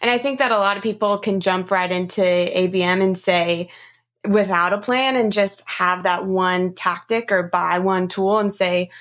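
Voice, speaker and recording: Woman hosting a casual interview, medium pitch. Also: fairly bright tone. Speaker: podcast host.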